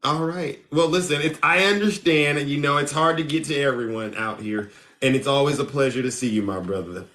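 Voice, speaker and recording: Bright-voiced playful male stream host. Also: mid-range voice, a little fast. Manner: playful, teasing, swings between loud and soft. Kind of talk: casual live call-in chat.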